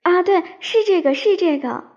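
A woman playing a young, romantic, dreamy student in a radio drama, telling her love story in a soft, sentimental, unhurried way. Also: extremely high pitch, expressive. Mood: flustered. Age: young adult. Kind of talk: radio drama narration and dialogue. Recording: dull lo-fi recording with piano music. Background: piano music.

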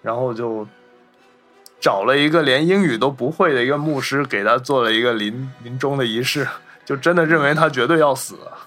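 Man, medium pitch, podcast host, casual film discussion, music behind. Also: brisk, a bit bright.

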